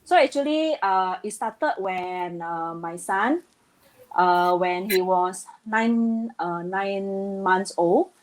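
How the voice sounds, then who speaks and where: A woman's voice: medium pitch, slow pace. Hesitant Indonesian-born woman guest. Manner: hesitant, many fillers, earnest. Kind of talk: casual interview talk.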